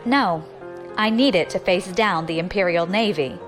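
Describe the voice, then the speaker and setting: A woman's voice: on the low side, moderate pace, faint music behind, natural voice. A woman playing a bold, ambitious, defiant young opportunist in an audio drama, assertive and challenging. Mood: confident, threatening.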